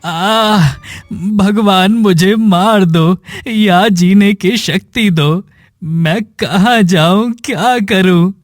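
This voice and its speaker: A man playing a poor, sick village man in a cartoon moral story, sorrowful, gentle and pleading. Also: sits high, extremely slow, dry recording, clean studio sound, faint music behind. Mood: pained, pleading. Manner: plaintive, suffering.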